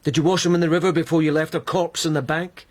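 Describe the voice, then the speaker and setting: An actor playing a male court investigator in a radio drama, probing and mannerly but goading, with measured questioning. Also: a little high, level tone, natural voice. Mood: accusing, goading. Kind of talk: BBC radio drama acting.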